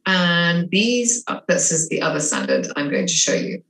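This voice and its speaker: Woman, French-English accent, middle-aged, lower voice, cooking demo, echoey kitchen, faint hiss. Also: expressive, normal speed, gravelly, a bit bright. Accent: French and English mix. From half French, half English. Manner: chatty, enthusiastic, gesturing while cooking. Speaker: cooking instructor. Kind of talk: cooking demonstration. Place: home kitchen, indoors. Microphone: video call webcam.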